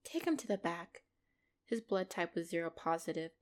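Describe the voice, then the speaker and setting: A woman's voice: lowish voice, animated, normal speed, a little mellow, lightly acted. Woman acting a menacing, swooping-voiced female mafia boss. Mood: stern, commanding. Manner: condescending, controlled, mock-sweet with threats. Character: commanding female mafia boss, menacing, possessive, icily playful. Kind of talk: dramatic roleplay audio acting.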